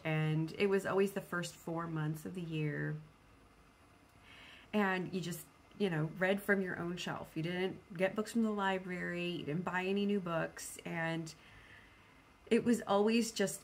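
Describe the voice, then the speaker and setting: A woman's voice: fairly low pitch, vocal fry, a little hiss or hum. A woman chatting casually and spontaneously as a book vlogger, rambling in a playful, self-deprecating way.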